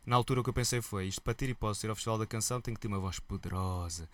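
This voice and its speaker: A man with a European Portuguese accent, a musician guest telling stories in a relaxed, colloquial interview chat. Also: fairly low pitch, very fast. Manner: lively storyteller, relaxed and colloquial.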